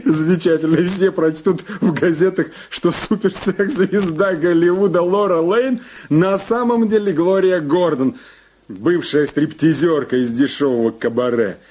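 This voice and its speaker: An actor in an audio drama playing a sleazy, mocking blackmailer husband, wheedling and drawling with gloating repetitions. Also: male voice, on the high side, a little slow, darkish tone.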